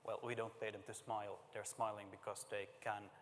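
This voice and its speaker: A man from Finland giving a conference talk and answering questions, speaking plainly and informally with filler pauses, in a large conference hall. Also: lowish voice, level tone, fairly bright tone, a little hiss or hum. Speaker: conference presenter.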